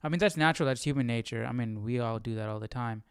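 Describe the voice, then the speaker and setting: Clear-voiced man musing casually, dry sound. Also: medium pitch, fast, dry recording. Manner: questioning, thinking aloud, often ending on "right?". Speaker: Bible study host. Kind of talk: casual live commentary.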